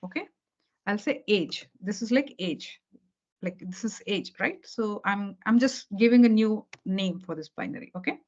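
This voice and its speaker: A woman's voice: mid-range voice, animated, moderate pace, a little mellow. Patient woman teaching code on a call. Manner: patient, repeats key points, checks understanding with okay and right. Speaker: programming teacher. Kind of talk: live coding lesson over video call. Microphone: video call. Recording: dull lo-fi call audio.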